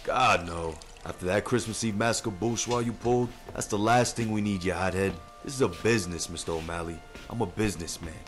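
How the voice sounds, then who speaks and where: A man playing a deep-voiced cool mob boss. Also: fairly quick, few pauses, faint music behind, close to an everyday voice. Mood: firm. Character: smooth calculating mob boss businessman. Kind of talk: 1930s radio drama acting.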